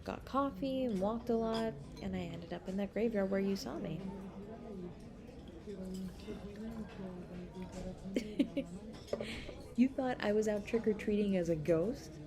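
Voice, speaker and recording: A woman acts out a young woman writer on a first date, playful and a little coy. Her medium-pitched voice teases and hesitates in an intimate, one-sided audio drama. A clear murmur of other people sits behind her. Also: animated, crowd noise, close to an everyday voice. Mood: amused, teasing. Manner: coy, teasing, hesitant pauses and soft laughs.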